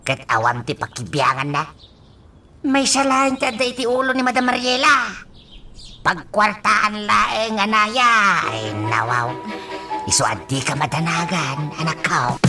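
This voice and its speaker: A man's voice: high-pitched, lively intonation, a little slow, sharp tone, faint music behind, heavily acted. An actor with a Filipino accent playing a kindly, teasing older father in a radio drama. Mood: reassuring.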